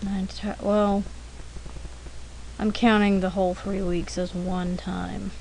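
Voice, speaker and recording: A woman's voice: medium pitch, slow, vocal fry, low-quality recording. A woman giving casual, rambling commentary over her art demo, chatty and self-deprecating, thinking aloud as she goes. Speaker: artist narrating own drawing demo. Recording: steady background noise.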